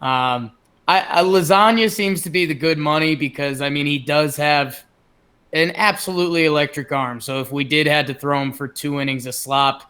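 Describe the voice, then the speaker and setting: A man with a bright, forward voice sitting a little high in pitch. He works through sports opinions as a podcast co-host, informal and thinking aloud as he goes. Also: moderate pace, smooth. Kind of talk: casual sports analysis.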